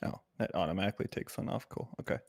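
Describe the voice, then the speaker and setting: Lively man chatting in a game. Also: sits low, very fast. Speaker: tabletop game player. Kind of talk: casual chat in a roleplaying game.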